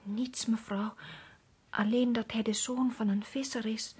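An actress playing a timid, deferential village maidservant in a radio drama, speaking hesitantly. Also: female voice, a little high, steady tone, a little slow, a little mellow, husky.

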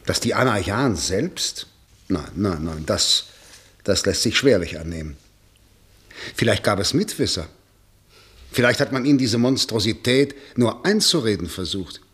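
Pedantic, dismissive legal official. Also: male voice, fairly low pitch, lively intonation, measured pace, long pauses, a bit bright. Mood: disbelieving. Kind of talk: German radio play acting, 1960.

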